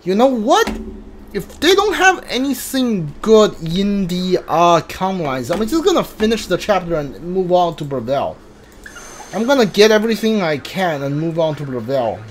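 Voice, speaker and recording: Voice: medium pitch, sing-song, normal speed, slight background noise. A video game streamer giving casual playthrough commentary, thinking aloud and muttering reactions while playing. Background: game music.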